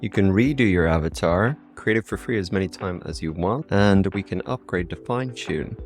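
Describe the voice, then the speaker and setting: Deep-voiced Irish man, casual tutorial. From Ireland. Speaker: tutorial video host.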